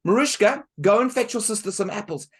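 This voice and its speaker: A man storyteller voicing a bossy, harsh stepmother who shouts orders and threats. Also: high-pitched, lively intonation, a little fast, breathy edge, pitched above the performer's own voice, full character voice.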